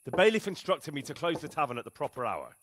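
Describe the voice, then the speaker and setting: Earnest, lively-pitched young peasant. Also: male voice, fast, a little hiss or hum. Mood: polite firmness. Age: young adult. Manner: polite, firm, plain-spoken. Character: earnest young peasant lad, dutiful but stubborn. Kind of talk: dramatic video game acting.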